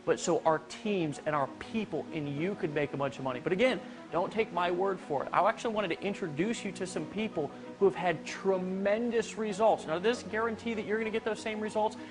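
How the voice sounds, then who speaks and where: A man presenting a business opportunity, making a blunt, hard-selling pitch straight to viewers. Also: fairly quick, low-quality recording. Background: background music.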